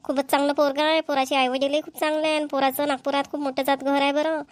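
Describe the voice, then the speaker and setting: A woman's voice: high-pitched, flat delivery, quick pace, no echo, natural voice. A woman voicing chatty village women in a cartoon, with a Vidarbha Marathi accent and a folksy, colloquial manner. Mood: pleased, gossipy. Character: chatty village women gossiping at a family engagement. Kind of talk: amateur cartoon dialogue acting.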